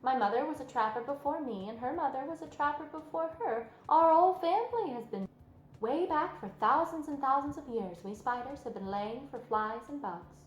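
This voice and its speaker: A woman's voice: sits high, animated, normal speed, voice pushed up. A woman voicing a clever, unapologetic female spider in a storybook, with a thin, pleasant voice and matter-of-fact self-assurance. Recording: roomy sound with music underneath.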